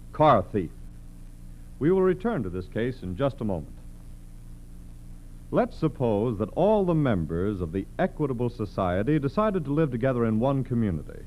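A man's voice: medium pitch, darkish tone. An American man announcing formally and emphatically in the style of a 1940s radio sponsor message. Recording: dull, noisy, humming vintage radio.

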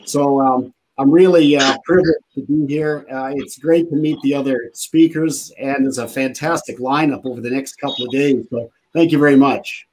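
A man's voice: medium pitch, fairly even intonation, moderate pace, few pauses, darkish tone, rough recording. Earnest man on a video call. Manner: earnest, with frequent um and repeated and. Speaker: guest speaker. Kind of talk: conversational webinar talk.

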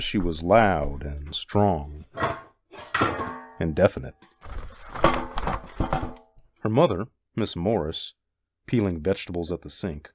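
A man's voice: low voice, darkish tone, slight background noise. A man playing the wry storyteller in a radio drama, narrating dramatically from a script.

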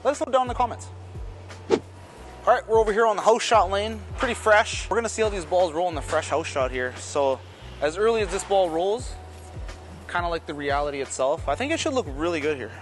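A fairly high-pitched man in his thirties talks through each shot in a relaxed, casual way. He is in a large indoor bowling alley, picked up by a camera at a middle distance. Clear music plays behind him. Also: a little fast. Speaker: bowling ball reviewer. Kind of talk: casual running commentary while bowling.

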